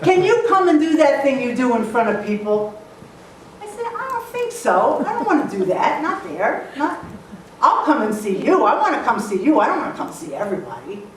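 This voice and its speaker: A woman tells stories to a live audience in a playful, animated way, sometimes singing a line or two. Her voice sits high and swings widely in pitch. The sound is distant and heavily echoey, as in a large hall, with a faint hiss behind it. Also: normal speed. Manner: animated and playful, sings snatches of song. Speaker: motivational speaker. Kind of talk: storytelling talk to a live audience.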